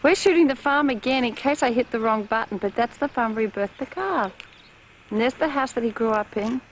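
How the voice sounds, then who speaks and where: Higher-voiced woman narrating a home video. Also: fairly quick, a little hiss or hum. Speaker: person filming home video. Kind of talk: casual camcorder tour commentary.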